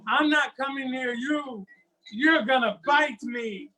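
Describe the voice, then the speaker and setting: A man's voice: extremely high pitch, slow pace, pushed and bright, far from the mic, soft background music, pitched above the performer's own voice, exaggerated voice, poor audio. A man voicing a cautious little girl in a folktale, speaking in a raised, emphatic voice. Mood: wary. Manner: wary, then indignant. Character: wary, kind little girl.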